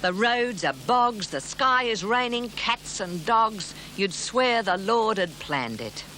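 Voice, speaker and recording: A woman storyteller voicing a blunt, exasperated country man in an animated tale. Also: high voice, slow pace, slight background noise, voice pushed up, exaggerated voice. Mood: gloomy despair.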